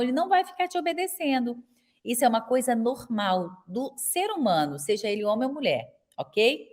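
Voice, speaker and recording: A woman in her forties with a Brazilian accent, teaching a live online class for elder caregivers in a chatty way and often checking in with her viewers. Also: high-pitched. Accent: Brazilian Portuguese. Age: 40s. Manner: chatty, tags nearly every sentence with a question to viewers.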